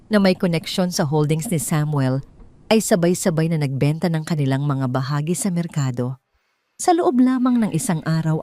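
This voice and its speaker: A woman with a Filipino accent narrating a scripted dramatic story in a measured, solemn storytelling manner. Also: low voice, a little mellow.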